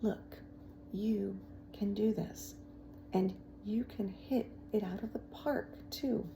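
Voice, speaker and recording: A woman voicing a kind, encouraging male schoolteacher in a storybook, gentle and lightly teasing. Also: medium pitch, steady tone, takes it slowly, vocal fry, noisy background. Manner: gentle, reassuring.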